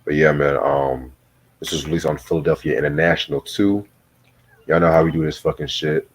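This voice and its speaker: This man has a very low, deep voice. He talks like the host of a loose music review among friends, informal and slangy. Swearing comes freely as part of how he speaks. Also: steady tone, brisk. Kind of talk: casual profane chat.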